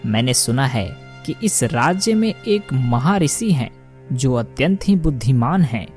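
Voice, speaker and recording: A man's voice: mid-range voice, big pitch swings, measured pace, darkish tone, faint music behind. A man voicing a thoughtful royal minister in a cartoon story, deferential and advising. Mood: concerned.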